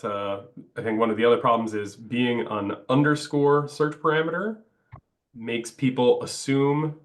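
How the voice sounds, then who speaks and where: A man with a fairly low voice talks through a webinar slide presentation. He sounds stuffed up from a cold. He keeps pausing on "uh" between phrases. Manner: halting, many "uh" fillers. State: congested, stuffed up. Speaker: project presenter.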